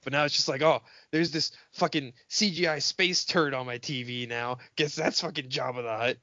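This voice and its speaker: A man's voice: medium pitch, fairly even intonation, moderate pace, sharp tone, no echo, dull audio. A man co-hosting a podcast in casual film banter, sarcastic and profane, mockingly acting out lines. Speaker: podcast co-host.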